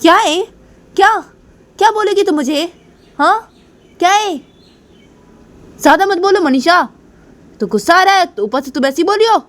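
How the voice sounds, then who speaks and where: A woman's voice: medium pitch, normal speed, pauses often, warm tone, close to an everyday voice. A woman voicing a hot-tempered, bossy young mother in a told family story, scolding and repeating her demands. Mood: angry, shouting.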